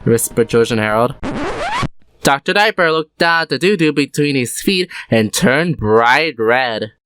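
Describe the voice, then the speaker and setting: Low-voiced woman reading a storybook. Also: a little slow, over music. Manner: steady, expressive read-aloud. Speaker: narrator.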